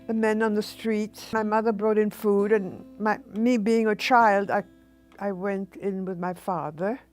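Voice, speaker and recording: A woman in her eighties from Austria gently recalls her early childhood. Her voice sits in a middle pitch, and she sometimes restarts a phrase. Faint guitar music plays behind her. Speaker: Holocaust survivor giving testimony. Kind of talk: recorded oral history.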